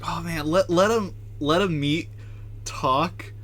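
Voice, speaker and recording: A man's voice: on the high side, takes it slowly, a bit bright. A man livestreaming a game, giving casual, chatty, joking commentary as he plays. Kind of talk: casual live commentary on gameplay.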